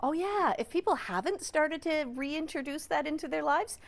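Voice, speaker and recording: Casting: woman, Canadian accent, notably high voice, chatty expert guest who explains through animated everyday examples. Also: moderate pace, creaky. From Newfoundland and Labrador, Canada. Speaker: psychologist, regular TV guest expert. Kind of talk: conversational interview explanation.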